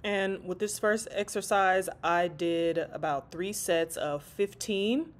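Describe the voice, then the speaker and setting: A woman's voice: fairly low pitch, slow. Congested woman's casual workout voice-over. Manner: casual, chatty, addresses viewers as y'all. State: congested, stuffy. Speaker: fitness vlogger.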